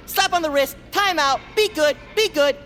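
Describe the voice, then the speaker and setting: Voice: high voice, moderate pace, pushed and bright, soft background music. An actor playing a manic, paranoid, chatty psychiatric patient in an audio drama, rambling theatrically and slipping into whispers like a playful tour guide. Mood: skittish, mock-apologetic.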